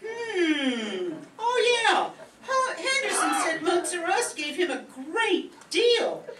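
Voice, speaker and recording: Woman as salesy neighbor, radio comedy spot, slow emphatic swooping delivery, echoey stage. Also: sing-song, over a noisy crowd, exaggerated voice. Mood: enthusiastic, selling. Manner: emphatic, drawn-out pitchwoman delivery. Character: eager salesy female next-door neighbor. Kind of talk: comic radio-commercial acting. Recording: echoey stage, distant mic.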